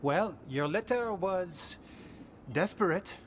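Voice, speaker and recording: A man voicing a gentle, thoughtful warden with a French accent in a video game, musing and halting. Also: sits high, animated, a little hiss or hum, exaggerated voice. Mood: thoughtful, uneasy. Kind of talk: video game dialogue acting.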